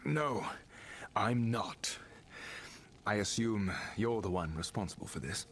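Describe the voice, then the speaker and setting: A determined adventurer in a fantasy game. His voice is deep, his manner terse and composed, with faint ambience around him. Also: expressive, slow pace, a bit bright, a little hiss or hum. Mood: wary. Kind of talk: video game voice acting.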